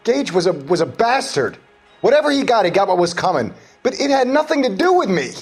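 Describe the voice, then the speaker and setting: High-voiced, agitated male suspect. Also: sing-song, fairly quick, soft background music. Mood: defensive. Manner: combative, agitated, defensive. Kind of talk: dramatic game cutscene acting.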